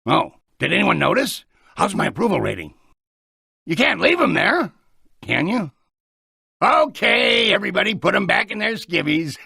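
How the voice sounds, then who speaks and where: An actor playing a brash, publicity-hungry mayor in a video game, gravelly, theatrical and blustering. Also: male voice, sits high, sing-song, moderate pace, pauses often, pushed and bright, no echo, studio quality, heavily acted. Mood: jovial, blustering.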